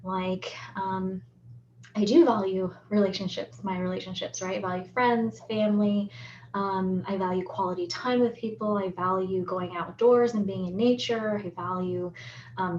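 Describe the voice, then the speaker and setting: A woman's voice: mid-range voice, slight background noise. A woman psychologist from the United States explaining self-reflection exercises, often checking in with "right?" as she goes. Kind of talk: educational talk on relationships. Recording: roomy, slightly dull recording.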